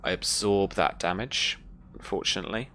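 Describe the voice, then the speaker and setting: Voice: low-pitched, moderate pace, pushed and bright, slight background noise. A game master narrating a tabletop role-playing game in a conversational, descriptive way.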